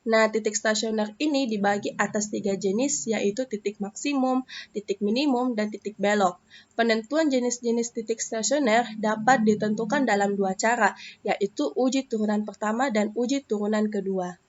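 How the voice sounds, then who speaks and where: Young woman, from Indonesia, math student, step-by-step lesson, hesitant, medium pitch, muffled lo-fi recording. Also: moderate pace, a little mellow. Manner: explains step by step with frequent hesitations.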